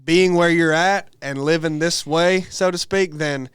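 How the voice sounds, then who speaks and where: A man's voice: fairly high pitch, fairly even intonation, unhurried, fairly bright tone, clean tone, no room sound, clean studio sound. An American man in a casual religious podcast discussion, talking in an emphatic, colloquial way as a host. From United States.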